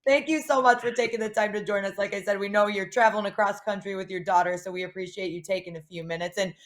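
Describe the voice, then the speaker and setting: A woman's voice: medium pitch, fairly even intonation, fast. A woman co-hosting a casual interview show, chatting politely with a guest. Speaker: show co-host. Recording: roomy, echoey sound.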